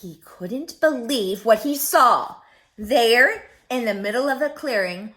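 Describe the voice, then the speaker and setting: Woman doing kids story time, bright voice, really up and down, theatrical, asks the little ones questions. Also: on the high side, expressive, slow pace. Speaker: children's story-time reader. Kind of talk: expressive picture-book read-aloud for young children.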